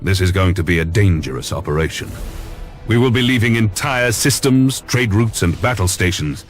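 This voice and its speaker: An actor playing a grave, commanding Lord Admiral in a video game cutscene, with formal and solemn delivery. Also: male voice, deep voice, animated, normal speed. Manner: formal, authoritative, solemn oratory. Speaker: fleet commander. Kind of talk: scripted dramatic game cutscene acting. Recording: music behind the voice.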